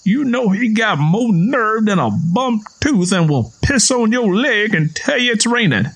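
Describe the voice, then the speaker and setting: Man voicing a high-pitched, taunting Southern man. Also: very animated, measured pace, fairly bright tone, full character voice. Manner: folksy, taunting, argumentative.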